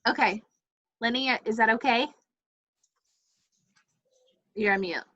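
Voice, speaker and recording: A woman's voice: a little high, measured pace, fairly bright tone. A woman coordinating a group in casual video-call chat, tentative and accommodating, with muffled call audio. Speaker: event coordinator.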